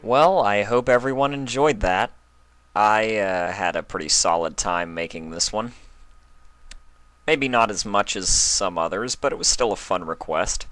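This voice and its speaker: Low-pitched man reading stories, bright tone. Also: measured pace, dry recording, faint music behind. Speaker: fan fiction reader. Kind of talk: storybook narration and casual sign-off.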